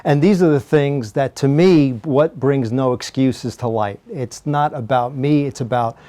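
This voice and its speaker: A man's voice: mid-range voice, unhurried, dark tone. A man hosting a talk show interview, friendly and encouraging, laughing along with his guest.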